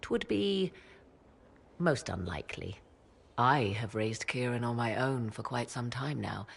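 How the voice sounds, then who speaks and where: An actress voicing a mysterious, secretive mage mother in a video game, speaking in a measured, formal, archaic way. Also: very deep voice, vocal fry, slight background noise, heavily acted. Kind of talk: video game cutscene dialogue.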